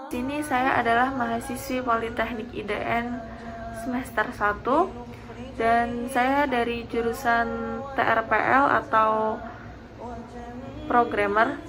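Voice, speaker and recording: A woman's voice: on the high side, fairly even intonation, slow pace, pauses often. Explanatory young woman student, music behind. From Semarang, Indonesia. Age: young adult. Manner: explanatory, with "eh" fillers. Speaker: first-semester student. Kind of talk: testimonial interview.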